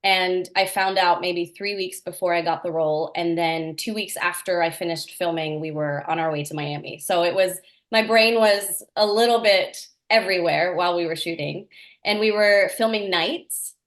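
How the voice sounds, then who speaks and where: A woman's voice: on the low side, steady tone, moderate pace, a bit bright. A woman from Norway, an actress, answering interview questions in an earnest, enthusiastic way with small laughs. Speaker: actress, guest.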